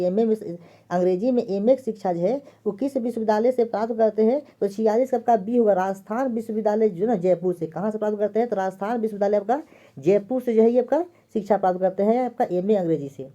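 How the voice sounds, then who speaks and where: Woman, exam prep teacher, repeats every question and answer, very dark tone, dull recording. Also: mid-range voice. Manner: repeats each question and answer several times. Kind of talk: answer key walkthrough.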